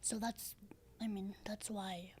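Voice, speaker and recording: A woman in her thirties with a low, raspy, breathy voice, speaking softly and hesitantly as a podcast guest, with many fillers and restarts. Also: level tone, slow, slight background noise. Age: 30s. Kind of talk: casual interview talk.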